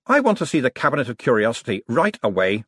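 A man's voice: medium pitch, wildly swooping pitch, breathy edge, dry recording. A man voicing a blunt, determined, short-tempered woman in an audiobook. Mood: impatient, assertive. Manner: blunt, brisk, assertive.

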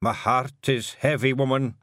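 A man reading aloud and voicing a tough little male fairy chief in a Scottish accent, slow and heavy-hearted. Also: mid-range voice, steady tone, a bit bright, no echo, studio quality, lightly acted.

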